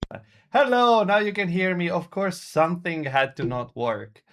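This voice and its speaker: A man's voice: high voice, very animated, moderate pace, fairly bright tone. A man co-hosting a tech podcast, wry and laughing a lot. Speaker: co-host. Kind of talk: casual tech podcast chat.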